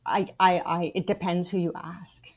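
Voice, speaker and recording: Canadian woman in her 40s, low voice, frank and wry, chatting as a podcast guest. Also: steady tone, moderate pace, gravelly. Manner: dry wry humor, frank and reflective. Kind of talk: casual interview storytelling.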